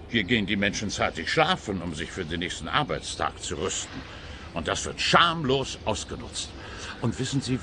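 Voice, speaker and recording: An actor playing an indignant, pompous, bumbling and slightly lecherous neighbour in a comic radio play, blustering and trailing off. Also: male voice, fairly low pitch, sharp tone, slight background noise. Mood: outraged. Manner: blustering, hesitant trailing phrases.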